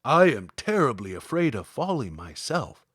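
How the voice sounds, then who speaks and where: A man voicing a big, shaggy cowardly lion in a storybook, earnest and obliging, with formal, deliberate phrasing. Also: medium pitch, very animated, a bit bright, breathy edge, dry recording, studio quality. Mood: nervous but willing.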